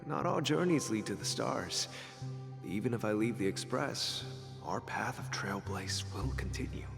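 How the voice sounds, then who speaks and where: A man's voice: lowish voice, unhurried, creaky. A man playing a resolute, idealistic adventurer in a game, speaking earnestly. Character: resolute, idealistic adult male train navigator turned freedom fighter. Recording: game audio, long reverb, loud music.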